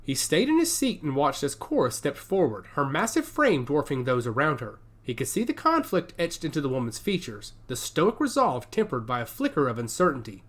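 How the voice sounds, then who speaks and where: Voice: mid-range voice, huge pitch swings, a little fast, hardly any pauses. A narrator reading a dramatic scripted story in the manner of an audiobook.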